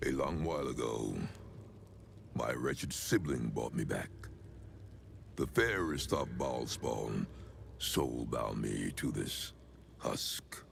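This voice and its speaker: An older man playing an ancient, sinister cult leader in a video game, with a very deep gravelly voice and a solemn, measured, archaic delivery. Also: expressive, a little hiss or hum, heavily acted. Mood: brooding. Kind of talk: dramatic video game monologue.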